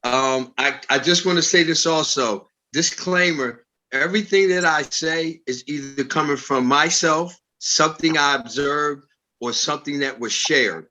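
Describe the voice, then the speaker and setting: A man's voice: fairly high pitch, unhurried, bright, forceful tone. A man in his late fifties talking casually as a co-host on a panel. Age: 50s.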